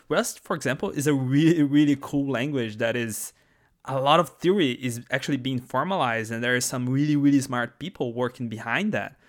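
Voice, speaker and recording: A man's voice: medium pitch, a bit bright. A man in his twenties from Brazil with a Brazilian Portuguese accent, a native Portuguese speaker, hosting a solo podcast in a chatty, enthusiastic way with restarts and asides. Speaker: podcast host.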